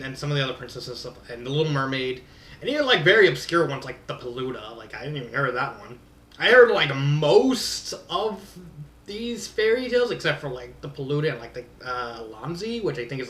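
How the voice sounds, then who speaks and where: A man's voice: medium pitch, fairly bright tone, slight background noise. A man giving a casual, rambling spoken review in an exasperated, emphatic way. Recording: roomy echo, distant from mic.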